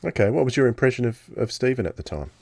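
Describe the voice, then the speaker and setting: A man's voice: medium pitch, big pitch swings, fast, creaky, a little mellow, no room sound. A man hosting a podcast, asking questions in a conversational interview style.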